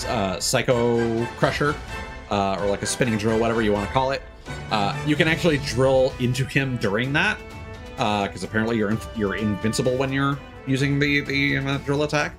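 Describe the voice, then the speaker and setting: A man giving casual let's-play commentary as a streamer, talking in a rambling, halting way. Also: medium pitch. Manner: rambling, hesitant, halting delivery; casual swearing. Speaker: game streamer, host. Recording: game music behind the voice. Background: video game music.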